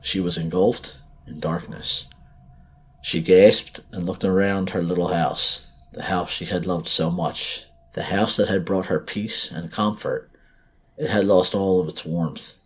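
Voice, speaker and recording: A man's voice: low-pitched, fairly even intonation, unhurried, slightly rough. A man reading a scripted horror story as narrator, in a dramatic, suspenseful, emphatic way.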